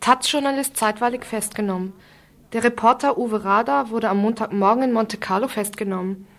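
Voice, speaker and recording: A woman's voice: on the high side, a little fast, creaky, fairly bright tone. A woman reading scripted short news items on the radio.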